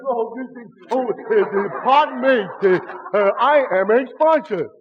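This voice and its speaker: Befuddled, self-important simpleton, high halting voice, old radio comedy, echoing and noisy. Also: male voice. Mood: earnest, befuddled. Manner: halting, dopey, formal. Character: Clifton Finnegan, dim-witted male nitwit posing as sponsor. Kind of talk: 1940s radio comedy acting. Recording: dull, noisy, reverberant vintage radio recording.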